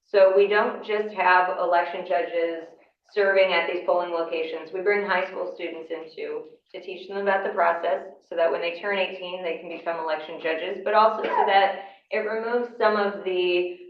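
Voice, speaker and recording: A woman, hoarse from a cold, talks a class through her work in a relaxed, explanatory way, pausing on fillers. Her voice sits on the low side. She is picked up from a distance in an echoey classroom with a dull, muffled sound. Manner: conversational, explanatory, with frequent fillers. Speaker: county election official giving a class. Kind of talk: informal lecture. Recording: echoey room, mic far off, dull sound.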